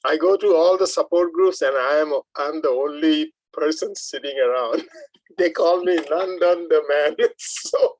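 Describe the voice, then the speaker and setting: A man's voice: on the high side, measured pace, dry recording, poor audio. Man, workshop participant, casual appreciative chat, conversational. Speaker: workshop participant and amateur performer.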